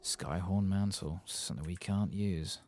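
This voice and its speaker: A man giving soft-spoken ASMR commentary over a video game, murmuring and sometimes slipping toward a whisper. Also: sits low, measured pace, a little hiss or hum. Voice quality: soft, husky, gravelly. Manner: murmuring to himself, partly whispering.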